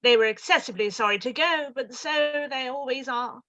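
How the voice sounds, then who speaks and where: Brief: imperious aristocratic dowager, played by a woman, condescending, crisp and forceful, lo-fi mic. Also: high voice. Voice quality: haughty, condescending, drawn-out. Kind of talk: live-read radio play.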